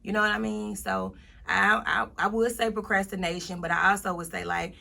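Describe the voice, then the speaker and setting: A woman's voice: mid-range voice, normal speed, gravelly, bright, forceful tone. A woman of thirty-one, speaking as a motivational guest in a casual interview, emphatically repeating her points.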